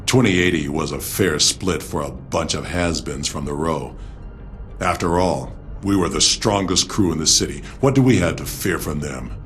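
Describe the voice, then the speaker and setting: Extremely deep-voiced vengeful gang boss. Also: male voice, fairly bright tone. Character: hard, vengeful gang boss, proud and cold. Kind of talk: scripted video game audio log monologue. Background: music behind the voice.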